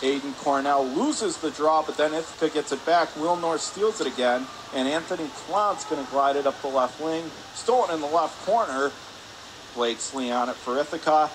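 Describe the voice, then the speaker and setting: High-voiced man calling hockey play-by-play, noisy rink. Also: moderate pace. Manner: clipped running phrases, rising with the action. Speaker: play-by-play announcer. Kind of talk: live ice hockey radio commentary. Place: indoor ice rink stands. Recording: clear rink noise behind.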